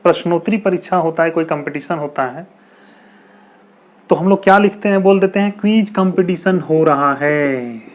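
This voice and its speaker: A man explaining common English mistakes in a video lesson, slowly repeating example sentences and asking rhetorical questions. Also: a little high. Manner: rhetorical questions, chatty teacherly asides. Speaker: English teacher.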